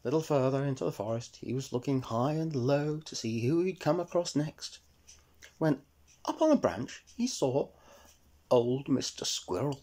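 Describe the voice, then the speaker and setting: A man's voice: medium pitch, animated, normal speed, warm tone. A man narrating a children's story as a storyteller.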